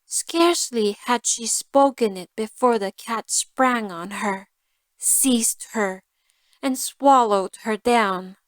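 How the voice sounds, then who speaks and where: A woman reading a scripted fairy tale for language learners, enunciating carefully and deliberately. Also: fairly high pitch, dry recording. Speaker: storyteller.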